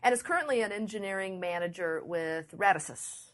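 A woman hosting a talk show, introducing the guest with fillers and pauses. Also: mid-range voice, a bit bright. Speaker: host.

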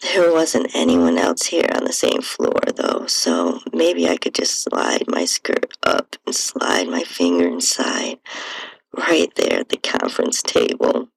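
A woman narrates a first-person story in a breathy, husky voice full of gravelly fry. Her delivery is sultry and slow, with pitch that swings widely from low creak to lighter tones. It sounds intimate, like an audiobook. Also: wildly swooping pitch, no echo, low-quality recording. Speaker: podcast host and narrator.

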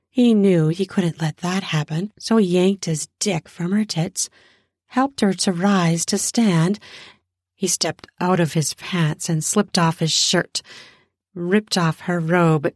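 A woman narrates erotic fiction in a lowish, husky voice. She takes her time, lingering on words and stressing them. The sound is close and dry. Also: slow, darkish tone, no room sound. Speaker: narrator of an erotic audio story. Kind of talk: erotic story narration with acted dialogue.

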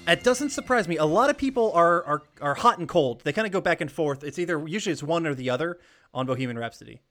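A man's voice: fairly high pitch, animated, quick pace, a bit bright. A man co-hosting a casual podcast chat, talking enthusiastically and swearing casually. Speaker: podcast co-host.

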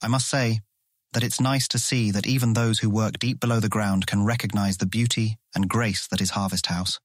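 Man playing proud male guild guide, measured, explanatory, fairly low voice, fantasy audiobook drama, dry studio sound. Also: fairly even intonation, no room sound, close to an everyday voice. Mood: explaining. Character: proud, patient male guild member showing a newcomer around. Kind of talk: full-cast fantasy audiobook acting.

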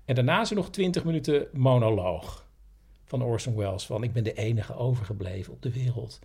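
A man's voice: medium pitch, animated, brisk, vocal fry, dark tone, breathy edge. A man co-hosting a podcast, telling stories and explaining things in a casual, conversational way. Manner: explanatory, anecdotal. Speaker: podcast co-host.

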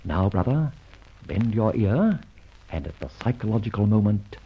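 A suave gentleman rogue in a vintage radio adventure. His voice is lowish and husky with very animated intonation, breezy, cocky and teasing. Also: normal speed, heavily acted. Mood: conspiratorial. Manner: breezy, teasing, flourished phrasing. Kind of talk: old radio adventure serial acting. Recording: dull, muffled old radio recording.

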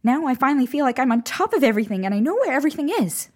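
A woman's voice: high-pitched, very animated, rapid-fire, darkish tone. An actress playing a bubbly, eager female potion maker in a comedic fantasy audio drama, with a chirpy, gushing and earnest manner. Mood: eager, delighted.

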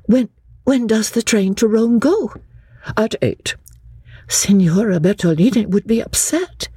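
A woman's voice: on the high side, unhurried, mellow, slightly rough, dry recording, studio quality, pitched above the performer's own voice. A reader voicing a nervous young woman who stammers and hesitates.

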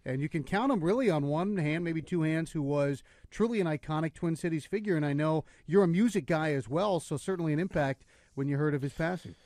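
A man's voice: fairly high pitch, brisk, a little mellow, dry recording, clean studio sound. Chatty sports radio host, man. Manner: chatty, smooth leading questions.

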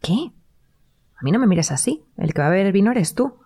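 An actress playing a sarcastic, easily irritated dinner guest in audio fiction, speaking in a direct, sardonic way. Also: female voice, mid-range voice, big pitch swings, fairly quick, warm tone, a little hiss or hum, lightly acted. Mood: annoyed, conspiratorial. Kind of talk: live-recorded audio fiction acting.

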